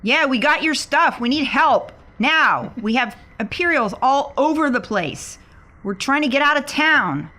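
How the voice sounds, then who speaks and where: A woman with a bright, fairly high voice plays in a tabletop game. She speaks casually in character, plain-spoken and insistent. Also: lively intonation, measured pace.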